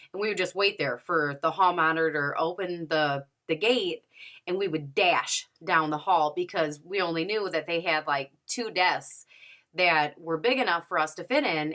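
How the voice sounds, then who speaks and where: A woman's voice: fairly low pitch, pushed and bright. A young adult woman vlogger telling a candid personal story to camera, chatty and full of fillers. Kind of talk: casual story-time monologue. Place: at home, sitting.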